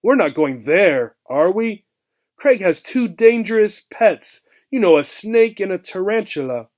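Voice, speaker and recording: A man's voice: sits high, expressive, measured pace, darkish tone, pitched above the performer's own voice. A man voicing an earnest, timid young female gerbil, eager and questioning, in a children's storybook. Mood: anxious.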